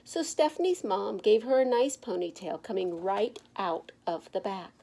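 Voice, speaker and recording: A woman reading a picture book aloud to children in an expressive, emphatic narrating style. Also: mid-range voice, normal speed, vocal fry. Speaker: children's storybook reader.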